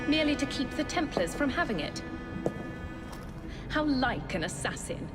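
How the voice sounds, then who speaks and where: Cold condescending villain woman, slightly high voice, music loud. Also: big pitch swings, moderate pace. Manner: cool, condescending. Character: cold, scheming woman villain seeking power. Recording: game cutscene with loud music.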